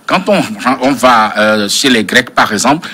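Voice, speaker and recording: A man's voice: mid-range voice, expressive, unhurried, pushed and bright, slightly rough. A man speaking as a panel analyst in a political debate, professorial and long-winded with learned references.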